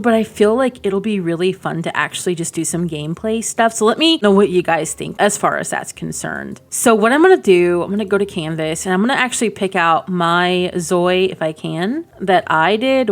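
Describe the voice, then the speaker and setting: A woman's voice: fairly low pitch, lively intonation, moderate pace, few pauses. A woman giving casual gaming commentary as a video host, chatty and enthusiastic, thinking aloud as she goes. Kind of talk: casual game preview commentary.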